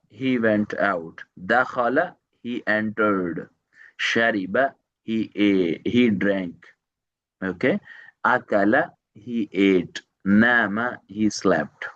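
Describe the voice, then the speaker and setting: A man teaching Arabic one to one, repeating each phrase slowly, translating word by word and quizzing his student with short questions. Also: on the low side, a bit bright, lo-fi sound. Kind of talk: one-to-one language lesson.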